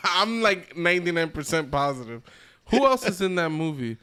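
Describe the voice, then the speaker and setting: A man's voice: fairly high pitch, big pitch swings, normal speed, pushed and bright, clear, resonant tone. A man hosting a podcast in casual, opinionated chat, emphatic and slangy, often punctuating points with a checking "okay?". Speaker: podcast host.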